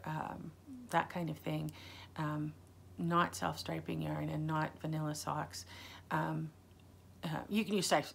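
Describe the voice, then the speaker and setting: Low gravelly lady rambling on her knitting podcast, lots of ums, still coughing a bit. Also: a bit bright. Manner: rambling, many ums and self-corrections. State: lingering chest cough. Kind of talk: casual solo podcast chat.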